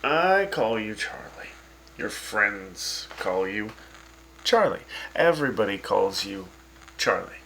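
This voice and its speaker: Cav, a sarcastic gravelly radio host voiced by a man. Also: lowish voice, sing-song, slow, a bit bright, slight background noise, exaggerated voice, low-quality recording. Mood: wry. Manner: sarcastic, teasing, chatty. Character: wry sarcastic male wasteland radio host.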